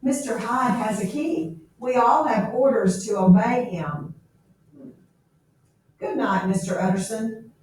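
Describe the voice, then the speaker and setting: A woman's voice: on the high side, slow pace, a little mellow. Elderly butler read by a woman, deferential and formal, gothic storybook, far from mic in echoey room. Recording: echoey room, distant mic, faint noise.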